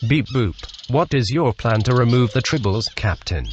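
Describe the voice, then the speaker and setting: A woman's voice: a bass voice, expressive, normal speed, darkish tone. A woman voicing a polite, earnest male robot crewmate with a stilted delivery in a sci-fi audio comedy. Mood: curious. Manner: stilted, bookends speech with robotic beeps. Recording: dull lo-fi sound with faint noise.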